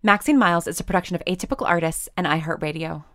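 A woman's voice: fairly low pitch, animated, very fast. A woman announcer reading scripted credits for an audio drama. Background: loud music.

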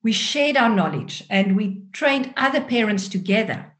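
A woman's voice: mid-range voice, animated, normal speed, fairly bright tone. A woman in her forties with a South African Afrikaans accent, a native Afrikaans speaker, telling her own story expressively as an interview guest. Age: 40s. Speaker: guest author.

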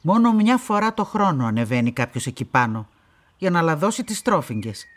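A woman's voice: low voice, sing-song. Haughty, swooping-voiced imperious lady. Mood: haughty, indignant. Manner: curt, haughty, formal old-fashioned phrasing. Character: stern, imperious upper-class woman estate owner. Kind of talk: radio play acting.

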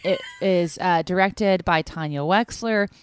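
A woman in her thirties co-hosting a podcast in casual chat, hoarse today, joking and laughing often. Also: fairly low pitch, unhurried, a little hiss or hum. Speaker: podcast co-host.

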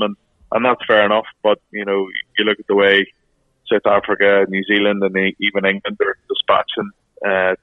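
Ex-rugby guy going hoarse, frank and halting, low flat voice, tinny phone line. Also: no room sound. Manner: frank, halting, many restarts. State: losing his voice. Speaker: former rugby player, guest pundit. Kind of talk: podcast interview over phone.

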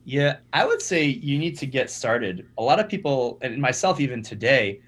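A young man, a startup founder, talking casually as a podcast guest, energetic and often repeating his first words. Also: medium pitch, moderate pace. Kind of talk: conversational podcast interview.

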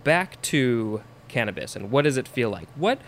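A man's voice: medium pitch, very animated, moderate pace, a bit bright, a little hiss or hum. A man hosting a casual chat, who talks steadily and steers the conversation. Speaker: host.

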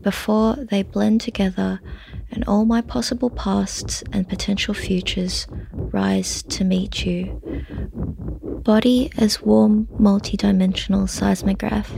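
A woman reading her own literary story in a measured way, often dropping to a breathy near-whisper. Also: medium pitch, steady tone, warm tone, slight background noise, poor audio.

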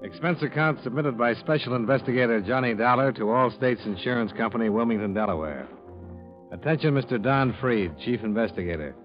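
An actor playing a cool, matter-of-fact insurance investigator in a 1950s radio detective drama. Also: male voice, medium pitch, fairly quick, natural voice. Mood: businesslike, dry. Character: cool, hard-nosed male freelance insurance investigator. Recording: dull vintage radio recording, music behind.

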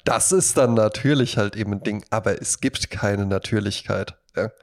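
A man's voice: on the low side, normal speed, vocal fry. A man co-hosting a podcast in casual conversation, talking in a rambling, anecdotal way and slipping into little imagined quotes. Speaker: podcast co-host.

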